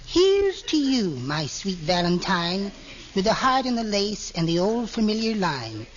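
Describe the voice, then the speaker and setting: A man's voice: high-pitched, sing-song, measured pace. A man playing a meek, henpecked little husband with sly spite, mild and prim, in 1940s radio comedy style. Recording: vintage radio, muffled with background hiss.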